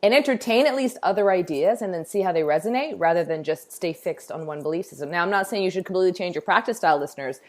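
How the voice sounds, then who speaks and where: A woman's voice: lowish voice, lively intonation, quick pace, hardly any pauses. A woman hosting a podcast, chatting enthusiastically with a guest over a call. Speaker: podcast host. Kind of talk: casual interview chat. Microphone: remote call setup.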